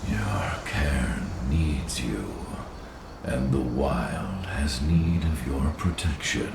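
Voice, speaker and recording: A man's voice: rumbling low, extremely slow, vocal fry, full character voice. A man voicing an ancient, solemn nature spirit in a video game, speaking in grave, stately, archaic phrases. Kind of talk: video game cutscene acting. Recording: heavy echo, distant, noisy ambient bed.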